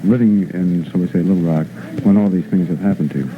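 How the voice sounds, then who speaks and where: Low-voiced male interviewer, tinny noisy film. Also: fast talker. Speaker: news interviewer. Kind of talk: formal probing interview. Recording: tinny old newsfilm, clear noise.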